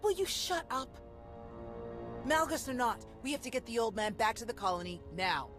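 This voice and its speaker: An actress playing an earnest, troubled young Jedi in a video game, intense and impatient. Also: female voice, on the high side, normal speed, close to an everyday voice. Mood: angry. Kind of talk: video game dialogue acting. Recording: music behind the voice.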